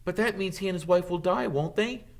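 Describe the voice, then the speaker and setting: A man voicing an excitable young boy wizard in an audiobook, stammering and blurting questions urgently. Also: sits high, a little fast, gravelly, pitched above the performer's own voice. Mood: dismayed.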